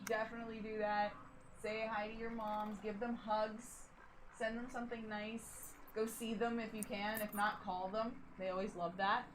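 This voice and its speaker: A woman's voice: mid-range voice, level tone, moderate pace, noisy background. A woman hosting a stream, chatting casually with a giggly, rambling manner. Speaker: streamer host. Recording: music playing behind.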